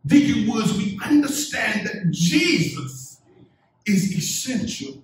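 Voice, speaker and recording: A middle-aged man preaching a sermon as pastor, in measured phrases that build up to emphatic calls answered by the congregation. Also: very high-pitched, sing-song. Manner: measured preaching with call-and-response and rising emphatic build-ups. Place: church sanctuary, indoors, medium-sized. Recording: echoey church sanctuary from a distance.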